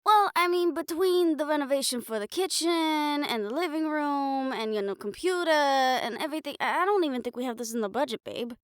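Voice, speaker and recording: A woman playing a caring, blunt, teasing young girlfriend in an improvised audio roleplay, chatting casually and haltingly to the listener. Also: sits high, lively intonation, dry recording, clean studio sound. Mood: worried, hesitant. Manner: casual, halting, playful swearing, affectionate teasing.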